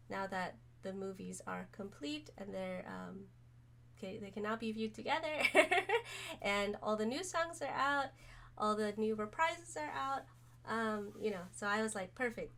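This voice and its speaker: A woman streamer chatting casually to camera, hoarse from being sick, rambling with many pauses and fillers. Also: mid-range voice, slight background noise. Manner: rambling, many ums, soft-spoken. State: hoarse, recovering from illness.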